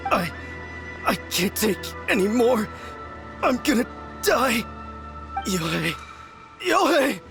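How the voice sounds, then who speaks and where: A man voicing a bombastic, halting, theatrical possessed swordsman in a video game, with a gravelly voice. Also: very high-pitched, very animated, exaggerated voice. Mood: desperate, pleading. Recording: music behind the voice.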